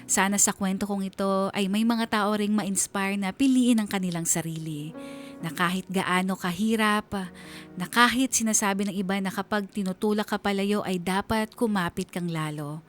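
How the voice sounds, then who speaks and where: A woman playing a reflective letter sender, narrating a scripted life story earnestly and with measured delivery in a Filipino accent. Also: medium pitch, faint music behind, lightly acted. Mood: earnest, hopeful.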